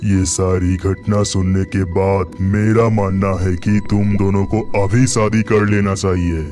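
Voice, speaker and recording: A man voicing a solemn, kindly water god in a cartoon, measured and formal. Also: low voice, level tone, mellow. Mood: solemn, benevolent. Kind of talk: cartoon character acting. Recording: music behind the voice.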